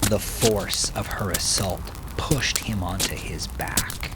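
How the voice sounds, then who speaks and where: A man narrating dark fiction in an intense, theatrical way, often sinking to a hushed murmur. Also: low voice, slow, heavy background noise. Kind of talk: dramatic scripted fiction narration. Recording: loud music under the voice. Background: loud music.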